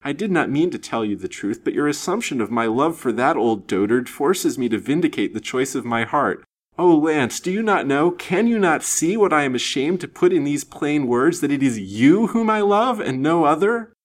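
A man's voice: fairly low pitch, animated, normal speed, a bit bright. A man voicing a passionate, lovestruck young woman in a melodramatic, imploring manner for an audiobook story. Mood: desperate confession. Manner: imploring, overwrought, theatrical. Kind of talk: dramatised audiobook reading.

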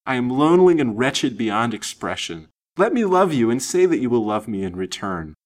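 A man's voice: lowish voice, animated, normal speed, a bit bright. A man voicing a passionate, lovestruck young woman in a melodramatic, imploring manner for an audiobook story. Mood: wild pleading. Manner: imploring, overwrought, theatrical. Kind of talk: dramatised audiobook reading.

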